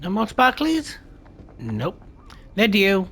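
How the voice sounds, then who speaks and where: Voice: medium pitch, huge pitch swings, takes it slowly, fairly bright tone. A gameplay commentator who reads in-game letters aloud in a plain voice, stumbling and correcting now and then, with chatty asides to the viewer.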